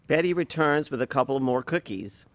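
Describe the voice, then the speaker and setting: A man's voice: mid-range voice, lively intonation, normal speed, dry recording. Dry-sounding man reading stage directions. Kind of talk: scripted narration for a play reading.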